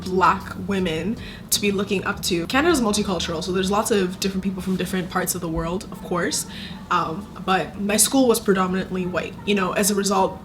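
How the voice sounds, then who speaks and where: A young woman from Zimbabwe talks straight into her phone camera in a relaxed vlog. She gives advice in a chatty, emphatic way and leans on casual fillers. Her voice sits at a middle pitch for a woman. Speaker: content creator.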